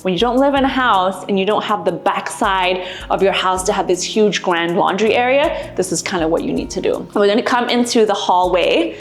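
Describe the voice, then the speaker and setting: A woman's voice: medium pitch, moderate pace, hardly any pauses. Chatty woman hosting a house tour, music behind. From Singapore. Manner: chatty, conversational, explanatory. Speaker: vlog host. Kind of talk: casual house tour presentation to camera. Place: indoors in a house. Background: background music.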